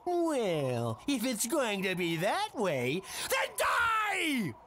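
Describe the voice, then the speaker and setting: An actor voicing a flamboyant, bullying clown villain in a video game, with theatrical, drawn-out threats. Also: mid-range voice, huge pitch swings, heavy background noise, full character voice. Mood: furious. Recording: loud music behind.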